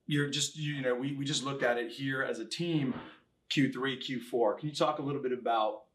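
A man's voice: mid-range voice, fairly even intonation, normal speed, a bit bright, slight background noise. A man co-hosting a casual interview podcast, chatting informally with jokey asides. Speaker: podcast co-host.

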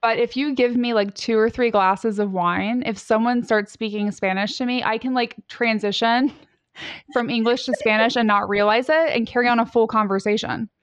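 A middle-aged woman hosting a podcast, chatting in a relaxed way and asking open questions. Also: fairly high pitch, moderate pace, clean tone, dry recording. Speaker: podcast host. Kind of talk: conversational interview.

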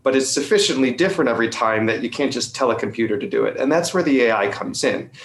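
A man giving an articulate, conversational explanation as an interview guest, often checking his points with a quick tag question. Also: mid-range voice, expressive. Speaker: company representative being interviewed. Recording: roomy, echoing sound.

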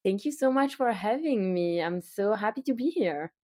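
A woman's voice: mid-range voice, expressive, normal speed, a little mellow, no echo, clean studio sound. A woman from Belgium with a Belgian accent, a brand founder telling her story as a guest in a podcast interview, chatty with small restarts.

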